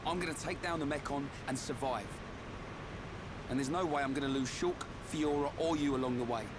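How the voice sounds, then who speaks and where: A man's voice: a little high, a little fast, noisy background, lightly acted. A man playing a brash loyal young fighter. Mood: confident, blunt. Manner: blunt, confident.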